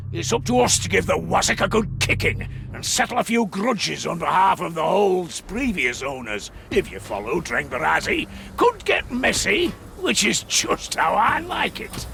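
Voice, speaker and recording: A gruff, battle-loving dwarf engineer from a fantasy video game. The voice is heavily gravelled yet pitched fairly high, swinging widely as he talks. He is hearty, colloquial and full of swagger. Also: exaggerated voice. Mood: eager for battle. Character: gruff, boisterous dwarf engineer, fond of fights. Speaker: mission-briefing game character. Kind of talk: scripted video game voice lines.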